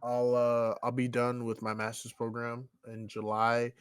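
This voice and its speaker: A man's voice: mid-range voice, completely flat delivery, unhurried, heavy background noise. Rambling young man in casual chat. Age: 20s. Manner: loose, rambling, leans on "you know what I'm saying". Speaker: podcast co-host.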